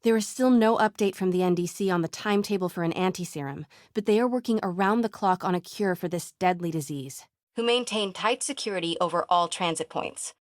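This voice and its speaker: A woman voice actress playing a determined young investigative reporter in a video game, with an American accent and a breathy, hushed manner. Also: lowish voice, a little fast, no room sound, studio quality, lightly acted. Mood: formal, reporting. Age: young adult. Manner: hushed, self-talking, breathy.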